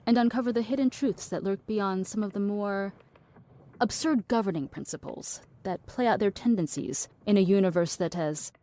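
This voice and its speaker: A woman playing a cynical, blunt philosophiser in an audio drama, deadpan, wordy and dismissive. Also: medium pitch, normal speed, natural voice. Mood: deadpan lecturing.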